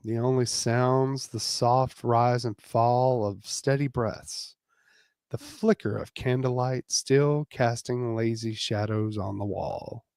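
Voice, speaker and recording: A man narrating a dramatic romance reading theatrically, with deliberate pauses, on a close microphone. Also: medium pitch, gravelly, a little mellow, no room sound. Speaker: narrator and reader.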